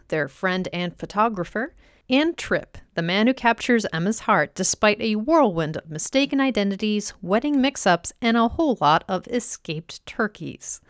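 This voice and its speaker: A woman's voice: medium pitch, dry recording, clean studio sound. A woman podcast host reading a scripted introduction to a show.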